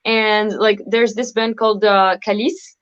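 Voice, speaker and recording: A woman's voice: medium pitch, steady tone, unhurried, dull audio. A woman whose first languages are French and Moroccan Arabic, chatting as a guest singer in a casual live video interview, laughing often and using many fillers. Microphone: video call.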